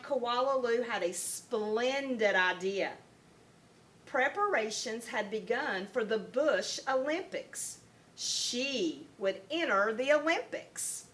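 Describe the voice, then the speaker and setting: A woman teacher reading a picture book aloud to young children in an expressive, deliberate storytelling manner. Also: on the high side. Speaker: first grade teacher.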